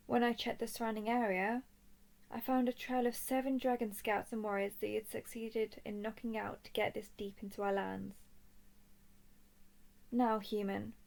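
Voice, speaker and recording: Woman acting as creaky-voiced female dragon scout. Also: mid-range voice, a little mellow. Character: watchful female dragon-clan scout, confident and teasing captor. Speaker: voice performer. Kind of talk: scripted roleplay audio drama monologue.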